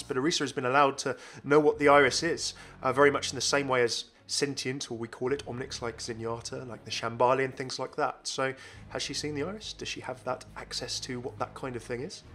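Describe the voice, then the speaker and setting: Fast, bright, enthusiastic male gaming host. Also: mid-range voice. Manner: enthusiastic, chatty. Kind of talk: informal video game commentary.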